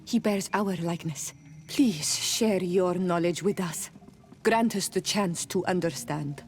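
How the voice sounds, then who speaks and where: A woman's voice: on the low side, a little slow, a little mellow, faint music behind. A woman playing an earnest young native companion in a fantasy game, respectful, formal and entreating. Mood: earnest, awed.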